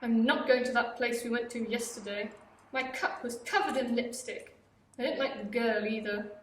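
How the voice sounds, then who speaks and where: A woman's voice: a little high, normal speed, roomy sound, far from the mic, a little hiss or hum, natural voice. A teenage girl playing a bossy mother who nags her grown son. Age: teens. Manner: nagging, bossy.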